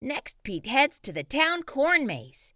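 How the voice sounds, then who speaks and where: A woman reading a children's picture book aloud, with a lively, expressive delivery. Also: high voice, slow, no room sound, studio quality. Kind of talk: read-aloud storytelling.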